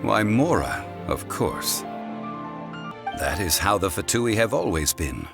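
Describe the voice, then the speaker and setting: An actor playing a calm, scholarly gentleman in a video game, speaking in a formal, measured, courteous way over music. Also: lowish voice, vocal fry, exaggerated voice. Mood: measured, knowing. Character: calm, refined, scholarly gentleman consultant, learned and composed. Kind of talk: video game character dialogue.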